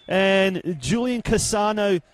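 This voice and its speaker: Booming, excitable high-voiced commentator. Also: male voice, takes it slowly, no echo. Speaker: football commentator. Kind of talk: live football commentary. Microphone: handheld mic held at arm's length.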